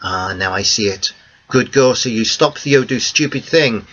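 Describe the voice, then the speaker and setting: A man voicing an old cafe owner, friendly and excitable, speaking broken English with lots of "ah" and "eh". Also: fairly low pitch, unhurried, slightly rough. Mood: eager. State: a bit out of breath. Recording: dull lo-fi recording.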